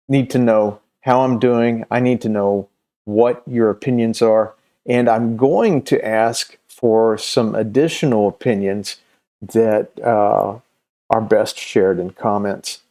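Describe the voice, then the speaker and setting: A middle-aged man talking casually to viewers as a video host, unscripted, with a halting, meandering delivery and long hesitations. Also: medium pitch, dark tone. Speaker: host of a comics recommendation video channel.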